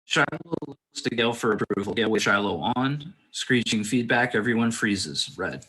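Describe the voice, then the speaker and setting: Man, low bright forceful voice, scripted screenplay narration, matter-of-fact. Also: animated, moderate pace, poor audio. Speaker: stage-direction narrator.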